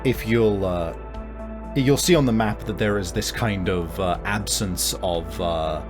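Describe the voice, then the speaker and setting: A man narrates a tabletop roleplaying scene in a low voice over clearly audible music. He takes his time, feeling his way through each description with frequent pauses. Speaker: game master. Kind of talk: improvised tabletop game narration.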